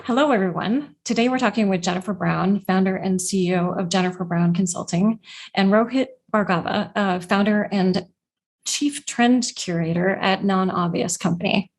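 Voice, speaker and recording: Breathy upbeat woman hosting a podcast. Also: mid-range voice, normal speed. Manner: upbeat, with frequent fillers. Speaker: podcast host. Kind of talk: casual interview hosting.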